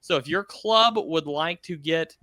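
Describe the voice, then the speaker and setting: Bright-voiced man hosting a podcast. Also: on the high side, unhurried, no echo, studio quality. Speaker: podcast host.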